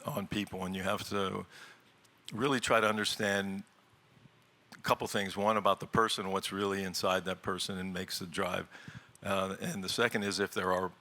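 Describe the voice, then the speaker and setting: A middle-aged man on a business panel talks plainly about investing, often doubling words as he thinks. His voice sits low, with a gravelly rasp but a bright, forward edge. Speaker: seed-stage investor, panel guest. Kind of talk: panel discussion.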